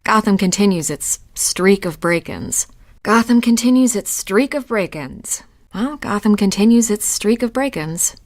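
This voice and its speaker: An actress playing a confident, probing newspaper reporter in a video game, with a knowing, wry conversational manner. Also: female voice, medium pitch, animated, a little slow. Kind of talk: naturalistic video game voice acting.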